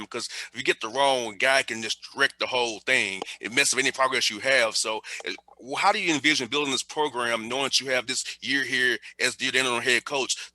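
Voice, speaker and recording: Male sports show host, mid-pitched, very bright and punchy, casual and chummy with long-winded questions. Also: no echo, poor audio.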